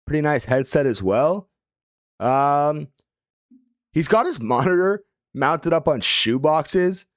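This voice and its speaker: A young man with a slightly hoarse voice, casually reviewing viewers' gaming setups in a laid-back way, full of fillers. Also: mid-range voice, no echo, clean studio sound. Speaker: gaming video creator.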